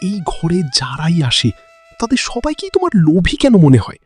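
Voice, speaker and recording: A man's voice: high-pitched, huge pitch swings, normal speed, slightly rough, soft background music, exaggerated voice. A man playing a kind-hearted, earnest young son in a cartoon, with a questioning manner and a Bangladeshi accent. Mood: questioning, reproachful. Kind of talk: cartoon voice acting.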